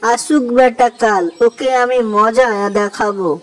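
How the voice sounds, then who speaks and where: Doting, scolding rustic cowherd for a kids' cartoon, played by a man, high voice, unhurried delivery. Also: steady tone, fairly bright tone, heavily acted, rough recording. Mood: baffled, indignant. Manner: exclaiming, rustic, talking to himself. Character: doting village cowherd, scolding and vengeful toward thieves. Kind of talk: children's cartoon storytelling.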